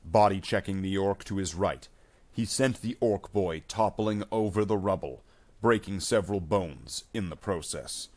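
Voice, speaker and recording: A man reading a scripted action story aloud in a measured, dramatic way as its narrator. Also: deep voice, darkish tone.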